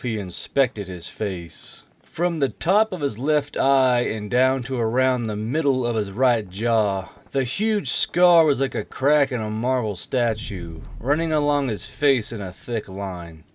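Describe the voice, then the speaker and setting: A man's voice: medium pitch, expressive, unhurried, hardly any pauses, no echo. A man reading a fantasy war story aloud as scripted narration. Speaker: narrator, audiobook reader.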